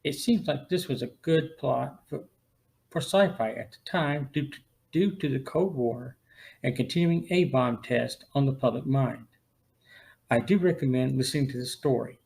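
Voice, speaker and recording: A man's voice: medium pitch, normal speed, vocal fry, a little mellow, low-quality recording. A man hosting a podcast, reading scripted narration and commentary. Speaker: podcast host.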